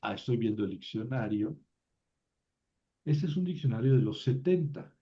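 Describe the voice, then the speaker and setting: A man teaching a class, slowly reciting word forms and thinking aloud as he explains. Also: mid-range voice, expressive, mellow. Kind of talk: slow classroom lesson on grammar. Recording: dull lo-fi recording.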